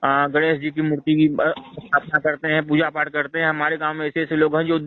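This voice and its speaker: High-pitched man arguing over the phone. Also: monotone, a little fast. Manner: argumentative, lecturing, insistent. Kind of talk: heated argument on a phone call. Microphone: phone line. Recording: tinny phone-call recording.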